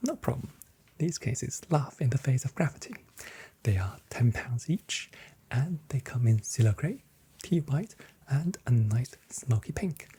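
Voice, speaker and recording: Soft, mellow whispering ASMR voice, sex ambiguous. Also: mid-range voice. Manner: soft-spoken, breathy whispering. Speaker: ASMR performer playing a shop assistant. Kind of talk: whispered ASMR shop role play.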